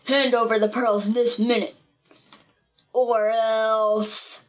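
A woman voicing a brutish, greedy male pirate bully in a storybook, making loud, threatening demands. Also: on the high side, little pitch movement, takes it slowly. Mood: menacing, angry. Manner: shouting, menacing demands.

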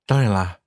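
A man acting a husband and stepfather in a Chinese audio drama, who can be cutting or gentle. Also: on the low side, no echo, clean studio sound. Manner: bitter and cutting to wife, gentle with child. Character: betrayed husband and doting stepfather, adult man.

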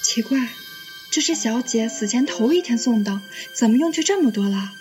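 Woman playing high-voiced deferential young maid. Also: expressive, moderate pace, lightly acted. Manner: polite, deferential. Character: deferential young maidservant of ancient China. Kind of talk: audio drama acting. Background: faint music.

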